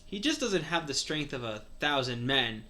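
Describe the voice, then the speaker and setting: A man's voice: mid-range voice, very animated, normal speed, sharp tone. A man reading a story aloud as a co-host, slipping in casual asides.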